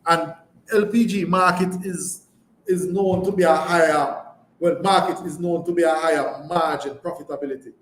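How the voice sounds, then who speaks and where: A man's voice: fairly high pitch, fairly even intonation, moderate pace. A Jamaican man answering as a chief executive on a live earnings call, emphatically repeating words and phrases. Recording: echoey room, background noise.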